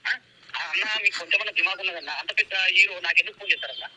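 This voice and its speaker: An excitable man banters on a phone call, often repeating himself. His voice is high and very sharp, and he talks extremely fast. The call playback has a faint layer of noise. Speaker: prank call recipient. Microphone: phone line.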